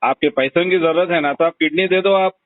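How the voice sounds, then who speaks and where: A man with a Hyderabadi accent speaks in a fairly high voice. He is blunt and insistent in a casual, conversational way. The sound is a narrow, dry telephone recording with very little room in it. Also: a little fast, dry recording. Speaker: phone call recipient. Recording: tinny narrowband phone call recording.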